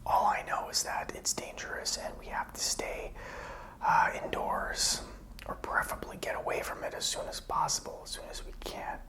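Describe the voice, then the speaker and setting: A man playing a calm, helpful survivor in a zombie apocalypse ASMR roleplay, whispering and speaking softly in a hesitant, intimate, musing way. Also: moderate pace, lightly acted, poor audio. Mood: quiet, musing.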